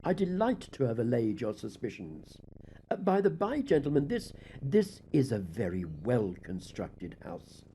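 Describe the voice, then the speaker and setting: A dark-toned, deranged murderer acted by a man confesses in formal gothic storybook prose. His pitch swings widely as he moves between dread and frenzy, with theatrical emphasis. There is some noise behind the voice. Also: big pitch swings, unhurried. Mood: nervous bravado. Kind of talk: dramatic gothic audiobook reading.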